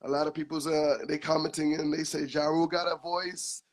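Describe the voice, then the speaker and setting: A man's voice: a little high, level tone, fairly quick. A man hosting a casual interview, easygoing, laughing along and giving short replies. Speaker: host.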